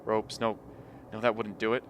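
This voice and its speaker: A man playing a sharp-voiced, suspicious polar expedition man. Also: fairly low pitch, level tone, normal speed, close to an everyday voice. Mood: tense, suspicious. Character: grim, suspicious man at an isolated polar research camp. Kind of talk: radio play acting. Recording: faint background noise.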